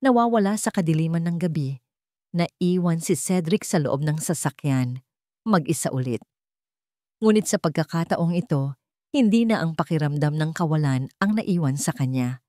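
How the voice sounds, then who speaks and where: A woman narrating a scripted romance story in a measured, dramatic way with deliberate pauses. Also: deep voice, mellow, no room sound, clean studio sound.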